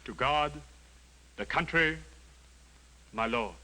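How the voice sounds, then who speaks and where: An actor playing an obsequious, precise secretary flattering his lord, in period radio drama style. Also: mid-range voice, slow pace, poor audio. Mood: smooth, obsequious. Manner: fawning, precise.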